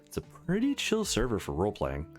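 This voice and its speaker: A man reading visual novel dialogue aloud in an animated let's play style. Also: lowish voice, fairly quick. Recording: music behind the voice. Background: background music.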